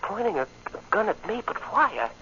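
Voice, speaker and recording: Troubled young American farmhand, highish tense voice, halting and earnest, swinging intonation, muffled old radio play. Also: male voice, big pitch swings, sharp tone, slight background noise, heavily acted. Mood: frightened defiance. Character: troubled young farm man, earnest, trapped in city crime. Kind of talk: old-time American radio drama acting.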